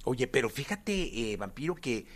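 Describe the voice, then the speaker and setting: A man's voice: mid-range voice, very animated, moderate pace. A man hosting a casual interview in an affirming, encouraging, conversational way. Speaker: interview host.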